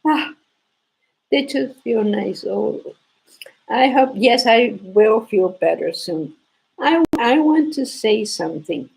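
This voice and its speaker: Knitting teacher, woman, hoarse from a cold; gravelly, husky, unhurried, repeats points. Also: a little high, expressive, darkish tone, rough recording. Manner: slow, repetitive explaining with restarts. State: sore throat, congested, coughing. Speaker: knitting teacher hosting a live stream. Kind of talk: casual live knitting lesson.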